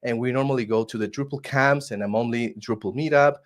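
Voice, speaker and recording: A man's voice: mid-range voice, a little fast. Costa rican guy, spanish accent, earnest, keeps restarting his words, video call. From Costa Rica. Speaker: guest. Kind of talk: casual interview storytelling.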